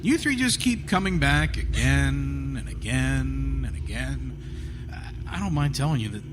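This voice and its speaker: An actor playing a sadistic, mocking killer with a theatrical, taunting manner in a live horror audio drama. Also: male voice, medium pitch, lively intonation, measured pace, close to an everyday voice. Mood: gloating. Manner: taunting, theatrical, relishing cruelty. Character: gloating sadistic male killer, a mocking trapped spirit. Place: theatre stage, live performance. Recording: noisy live theatre stage recording.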